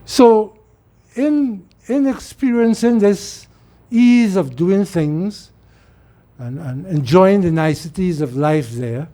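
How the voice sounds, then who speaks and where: Man, Trinidadian accent, middle-aged, high pitch, informal monologue, repeats words. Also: lively intonation, takes it slowly, warm tone. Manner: rambling, repeats words as he restarts. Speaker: political speaker.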